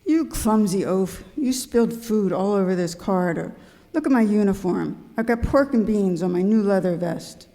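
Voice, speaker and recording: Man playing a bossy cowboy ship captain, mellow-toned. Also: high-pitched, expressive, normal speed. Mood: indignant, bossy. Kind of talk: amateur live radio skit acting. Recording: echoey hall, amateur live recording.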